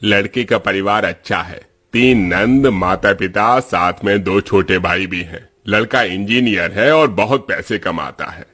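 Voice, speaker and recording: A man's voice: lowish voice, measured pace, few pauses, fairly bright tone. A man playing a polite, obliging priest who arranges marriages in a cartoon story. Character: obliging adult male priest matchmaker.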